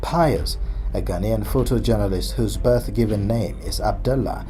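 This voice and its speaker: Low-voiced male news narrator. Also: normal speed, music in the background. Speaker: news reporter.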